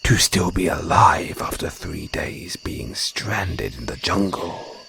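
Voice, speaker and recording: A man voicing a male jungle naga, guarded but tender and flirtatious, in an intimate audio roleplay with slow, formal phrasing. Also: low voice, big pitch swings, creaky, bright, forceful tone, faint music behind, heavily acted. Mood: admiring, teasing. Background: crickets and jungle insect sounds.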